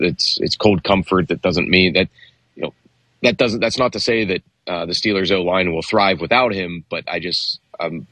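A man's voice: low voice, fairly bright tone, husky, no room sound. A man co-hosting a sports radio show, talking casually and hesitantly with many fillers and restarts.

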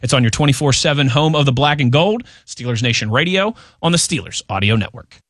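A man's voice: mid-range voice, lively intonation, fast, a bit bright, no room sound, clean studio sound. An American man hosting a sports radio show with casual, bantering talk.

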